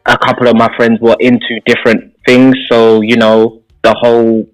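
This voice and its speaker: Young man, medium pitch, casual, reflective, hesitant phrasing, radio guest, faint background music. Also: monotone, normal speed, rough recording. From London, England. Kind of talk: casual reflective interview chat. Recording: background music under the talk.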